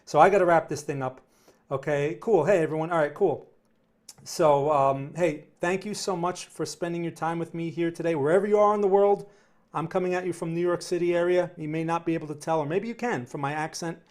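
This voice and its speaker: A man's voice: on the high side, fairly quick, a little mellow. A man with a New Jersey accent hosting a live trading stream, answering viewer questions in a rambling way, often repeating words and tagging sentences with "right?". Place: home studio near New York City.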